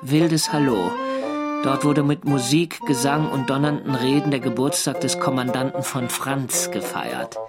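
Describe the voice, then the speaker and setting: An actor playing a wartime sailor narrating his diary in a measured, dryly wry way for a radio drama. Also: mid-range voice, steady tone, loud music behind the voice, lightly acted. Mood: reflective.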